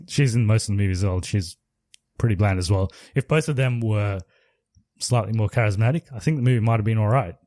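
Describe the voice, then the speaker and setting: A man's voice: mid-range voice, a little mellow, dry recording. A man co-hosting a podcast, casually reviewing a movie in a rambling, laid-back way. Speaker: podcast co-host.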